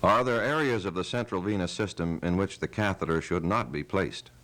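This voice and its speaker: A man with a deep, low-pitched voice serves as the interviewer. He puts scripted medical questions in a formal way, with precise and careful wording. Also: a little fast, a bit bright.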